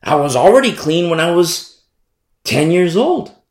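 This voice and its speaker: A man preaching a sermon in an animated, repetitive style, acting out the people he criticizes. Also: fairly high pitch, measured pace. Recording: echoey room sound.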